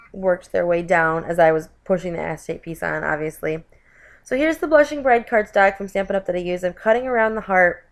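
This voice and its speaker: Chatty woman with a stuffy cold voice. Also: mid-range voice. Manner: chatty, with frequent self-corrections and "you guys". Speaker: craft video host. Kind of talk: voiceover narration of a card-making tutorial.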